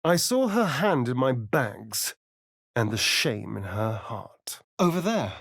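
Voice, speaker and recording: Casting: a suave, sardonic male vampire rogue for a fantasy game. Arch and dramatic, very lively pitch, dry close sound. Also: slow, creaky, no room sound, exaggerated voice. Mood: measured, brooding. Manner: theatrical, arch, dramatic delivery. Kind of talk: fantasy video game voice acting.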